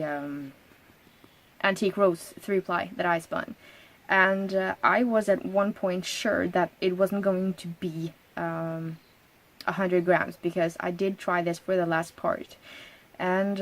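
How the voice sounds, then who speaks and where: Chatty norwegian-born woman in her 30s doing her knitting podcast, laughs a lot, lots of ums. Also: on the low side. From Norway. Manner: chatty, laughs often, many ums and restarts. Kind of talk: casual solo podcast chat.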